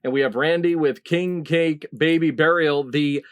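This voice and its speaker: A man's voice: a little high, fairly even intonation, normal speed, smooth. A middle-aged man hosting a comedy panel quiz over a video call. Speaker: quiz show host.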